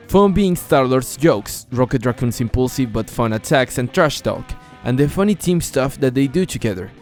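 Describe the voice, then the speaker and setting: A man's voice: medium pitch, darkish tone. A man reviewing a comic book as a channel host in earnest, scripted narration, often posing rhetorical questions to the viewer.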